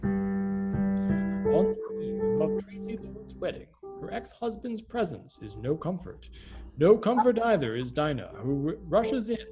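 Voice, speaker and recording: Casting: male radio drama narrator, fairly high, lively intonation, scripted theatrical delivery over guitar music. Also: a little slow.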